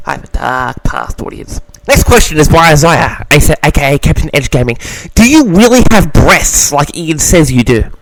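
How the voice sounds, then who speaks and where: A man with a fairly high voice and very lively, swinging intonation hosts a casual question-and-answer log. He reads questions aloud and replies theatrically, with a mock-grand air, addressing his listeners directly. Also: normal speed, vocal fry, few pauses, no echo, rough recording. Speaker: host of an audio log answering audience questions.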